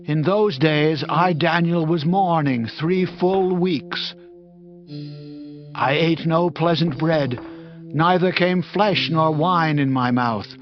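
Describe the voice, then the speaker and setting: A man's voice: fairly high pitch, slow pace. An actor playing a devout, humble prophet in a dramatized scripture reading, earnest and reverent as he declaims. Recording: slightly dull sound, music underneath.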